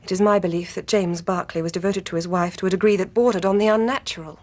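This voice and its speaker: An actress playing a guarded, indignant Victorian gentlewoman with an English accent, clipped and very formal in a British radio drama. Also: on the low side, fairly even intonation, quick pace, natural voice.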